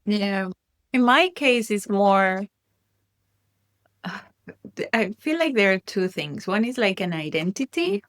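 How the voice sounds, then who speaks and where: A woman's voice: mid-range voice, big pitch swings, slow pace, long pauses, fairly bright tone, no room sound, clean studio sound. A woman from Colombia with a Colombian Spanish accent, a native Spanish speaker talking reflectively as a guest parent, with many restarts. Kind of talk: casual conversation.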